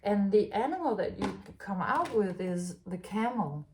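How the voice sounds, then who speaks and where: A woman from Denmark giving a tarot reading in a halting, musing way, with many restarts and fillers. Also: medium pitch, lively intonation, darkish tone, slight background noise. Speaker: tarot reader. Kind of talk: spontaneous spiritual tarot reading.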